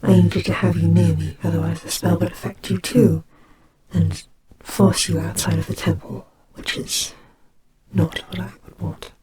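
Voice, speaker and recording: A woman voicing a gentle, soothing goddess of death in an audio roleplay, speaking softly and breathily with hesitant, reassuring care for a child. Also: lowish voice, level tone, moderate pace, natural voice. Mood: tender, protective. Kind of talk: slow dramatic audio roleplay. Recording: clearly noisy amateur home recording. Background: ambience sound effects.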